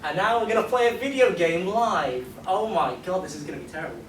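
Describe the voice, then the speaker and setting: A man presenting casually at a convention panel, rambling in an excitable, self-deprecating way. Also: high-pitched, quick pace. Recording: echoey, noisy hall, distant microphone.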